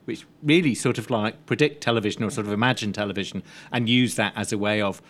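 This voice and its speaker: A man's voice: on the low side, fast, a bit bright. A man talking informally on a film panel in a discursive way, often saying "like" and "sort of", recorded live at an event. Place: live post-screening event before an audience. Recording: clearly noisy live room recording.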